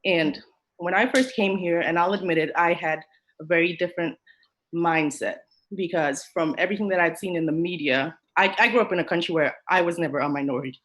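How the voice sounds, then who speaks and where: A woman's voice: on the low side, brisk, a bit bright. A woman from Kenya, speaking emotionally and emphatically in a podcast discussion. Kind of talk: earnest discussion.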